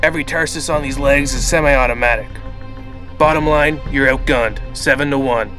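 A man's voice: mid-range voice, normal speed, over music, lightly acted. An actor playing a cocky, threatening hired assassin in a comic book audio drama, taunting his target.

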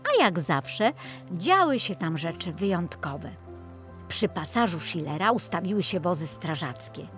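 A woman reads a children's story expressively. Her voice is on the low side and swings widely in pitch, at a slow pace. Faint music plays behind her. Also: sing-song, fairly bright tone. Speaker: audiobook narrator.

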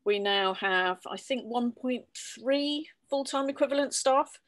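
Measured woman on a dry video call. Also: on the high side, a bit bright, no room sound. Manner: measured, explanatory, with filler ums. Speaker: publisher. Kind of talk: online panel talk. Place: home room under sloped ceiling. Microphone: laptop webcam video call.

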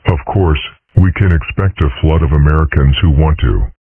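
A man's voice: extremely low pitch, moderate pace, slight background noise. A man voicing a pompous, eccentric dragon father in a comic strip dub, speaking with procedural formality. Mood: solemn. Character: pompous, eccentric dragon father, self-styled head of state. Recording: muffled narrow radio-like sound.

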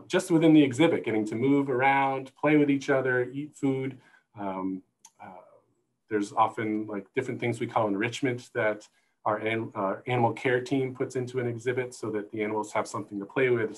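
A middle-aged man explaining things as a panel guest on a video call, in thoughtful, halting phrases, on an earbud mic. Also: mid-range voice, poor audio. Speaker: guest, zoo conservation manager. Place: indoor room, video call. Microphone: wired earbuds headset.

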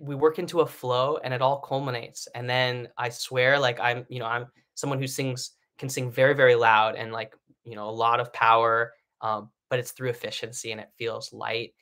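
A man's voice: mid-range voice, fairly bright tone. A man in his thirties giving a singing lesson from his living room in a live stream. He talks in a chatty, encouraging way, full of fillers and restarts. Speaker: singing teacher. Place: home living room, indoors.

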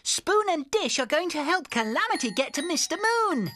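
A woman's voice: high-pitched, sing-song, moderate pace, fairly bright tone. A woman voicing a cheerful, helpful cartoon bus, eager and upbeat. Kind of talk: cartoon voice acting.

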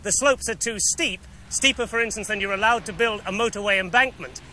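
A man in his thirties with an English accent giving a scripted television report in a wry, measured way with deliberate pauses. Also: sits high, bright, forceful tone. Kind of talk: scripted investigative report, to camera and voice-over. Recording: 1970s TV film sound, background noise.

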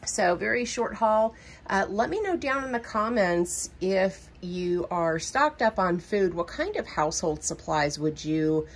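A woman's voice: mid-range voice, animated, measured pace. A middle-aged woman with a Southern American accent, chatting casually through a shopping haul at her kitchen counter, with frequent ums and asides. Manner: chatty, rambling, many ums, baby talk to her cat. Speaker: budgeting vlogger. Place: home kitchen.

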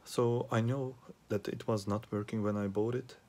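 A man giving an unscripted troubleshooting demonstration as a hobbyist repairer, speaking haltingly with frequent pauses and hesitations. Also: on the low side, steady tone, dark tone. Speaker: hobbyist repairer asking viewers for help. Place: small indoor workspace at a repair bench.

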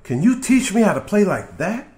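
A man voicing an eager, earnest young boy for a storybook reading, excited and pleading. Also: high-pitched, moderate pace, slight background noise, pitched above the performer's own voice. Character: eager young boy learning guitar, pleading with his mom.

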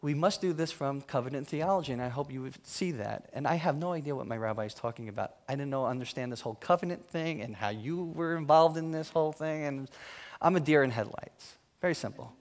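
A man's voice: medium pitch, fairly quick. A man giving a talk in a church hall, telling humorous, self-mocking stories about his work. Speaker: guest speaker. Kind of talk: storytelling talk.

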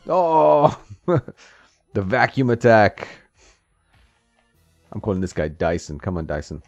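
Lively-voiced man joking over gameplay. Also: lowish voice, takes it slowly, no room sound. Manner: joking, laughs often, talks to the game. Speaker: gameplay streamer. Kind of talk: casual let's-play commentary. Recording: slightly dull, dry sound with game music.

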